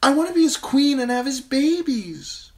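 A gushing young noble girl, lovestruck and stubborn, her high voice swooping all over the place, as a male reader puts her on. She sounds breathless and dreamy, straight out of a fantasy storybook read aloud. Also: sing-song, moderate pace, heavily acted. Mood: adoring. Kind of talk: audiobook character voice.

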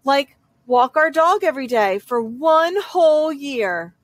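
A woman with a high voice reads a children's storybook aloud. She takes it slowly, stretching key phrases for dramatic effect. The sound is dry, with no room echo. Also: lively intonation. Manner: dramatic, drawn-out emphasis on repeated phrases. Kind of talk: expressive children's picture-book read-aloud in a young girl's first person.

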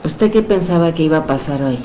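A middle-aged woman with a Mexican accent, a hypnotherapist who guides a session with soft, slow suggestions and then chats warmly with her client in a small indoor room. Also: sits low, slight background noise, rough recording. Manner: soft, slow counting and suggestions, then lively coaxing questions.

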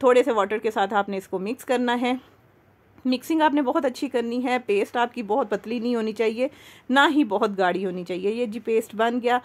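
A woman's voice: fairly high pitch, a little fast. A woman with a Pakistani accent hosting a makeup tutorial in a chatty, conversational way, explaining things step by step. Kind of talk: instructional demo voice-over, conversational.

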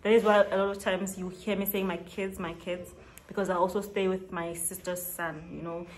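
A woman's voice: lowish voice, flat delivery, normal speed, a little mellow, low-quality recording. A woman in her late twenties chatting casually to camera in a get-to-know-me vlog, laughing often and stretching words for emphasis. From South Africa. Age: 20s. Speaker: YouTuber. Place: small indoor room with shelves.

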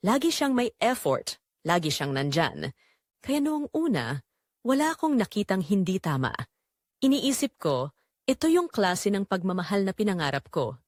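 A woman playing a betrayed young wife in an audio drama, narrating her heartbreak in earnest, measured, confessional phrases. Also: medium pitch, big pitch swings, dry recording, studio quality, close to an everyday voice. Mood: wounded, reflective. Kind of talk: scripted first-person dramatic narration.

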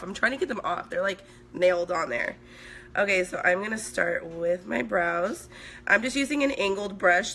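A woman in her thirties from Sweden talks casually into a phone held at arm's length in a small room at home, a makeup artist chatting with her viewers. Her voice is bright but hoarse, as if it is still coming back. A faint hiss sits under the recording. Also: medium pitch. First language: Swedish. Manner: chatty, reads viewer comments aloud while working. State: hoarse, voice recovering. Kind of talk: live makeup stream chat.

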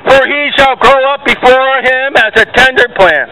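A man street preaching outdoors, declaiming loudly and scolding passers-by. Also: extremely high pitch, moderate pace, low-quality recording. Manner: loud, declamatory, scolding the crowd. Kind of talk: open-air street preaching. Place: outdoors at a farmers market.

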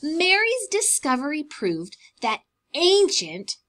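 A woman's voice: high voice, huge pitch swings, slow, a bit bright. A young adult woman reading a picture book aloud as a preschool teacher, explaining things simply to young children.

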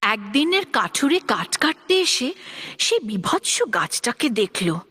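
A woman narrating children's fables as a scripted storyteller, expressive and deliberate. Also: fairly high pitch, slightly rough.

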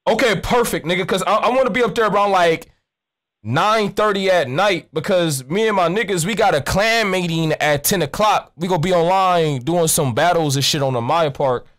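A man's voice: high voice, moderate pace, a bit bright. A young American man making a prank call in casual street slang, rambling, nonchalant and profane, close on a studio mic. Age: young adult. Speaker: prank caller and streamer. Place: indoors at a streaming desk. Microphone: close studio mic with pop filter, headset on.

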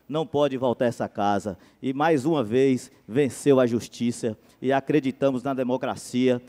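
A man's voice: mid-range voice, warm tone. A man, giving a fervent council floor speech into a handheld mic at a podium, his voice rising when he stresses a point. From Brazil. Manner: fervent political speech, rising emphasis on key claims. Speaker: city councilman. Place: city council chamber. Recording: long reverb of a council hall.

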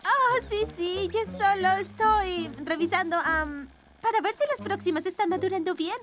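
A woman's voice: extremely high pitch, normal speed. A woman voicing a sweet, anxious young female furry creature in a cartoon, earnest and fretful. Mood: nervous. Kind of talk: cartoon dubbing. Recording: music behind the voice.

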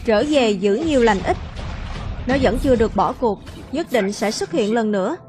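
High-pitched woman dubbing a cartoon. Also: expressive, moderate pace, a little mellow, soft background music. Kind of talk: dubbing all roles of an animated film in Vietnamese.

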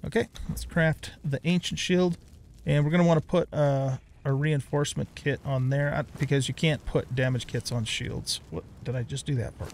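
Middle-aged man with a creaky, higher-set voice. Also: steady tone, normal speed, slight background noise. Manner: rambling, thinking aloud. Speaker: let's play host. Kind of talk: casual gameplay commentary.

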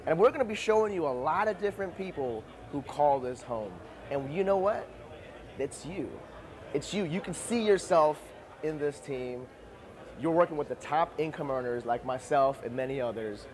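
A man presenting a promotional video as team leader, speaking confidently straight to the viewer. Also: fairly high pitch. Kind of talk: promotional intro.

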